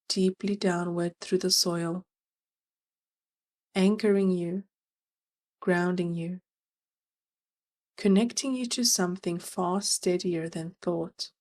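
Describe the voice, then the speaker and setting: A woman narrating a guided meditation as its guide, gentle and soothing, leaving long pauses between phrases. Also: on the low side.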